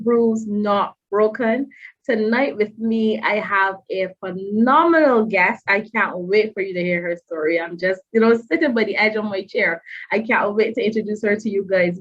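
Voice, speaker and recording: A woman hosting an online interview show, welcoming viewers slowly and emphatically and repeating her words. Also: mid-range voice, fairly bright tone, muffled recording. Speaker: podcast host.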